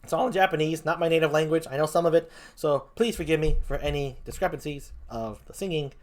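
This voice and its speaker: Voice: medium pitch, fast. A live streamer chatting casually with the chat while setting up to sing, talking loosely and swearing when flustered. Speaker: VTuber streamer.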